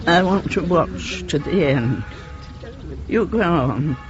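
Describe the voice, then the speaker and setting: A woman's voice: low-pitched, lively intonation, takes it slowly, over music. An actress playing a genteel, girlish, effusive woman of eighty-five with a British accent in a radio play. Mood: subdued. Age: 80s. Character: frail, genteel, giddy eighty-five-year-old widowed lady. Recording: dull lo-fi radio recording.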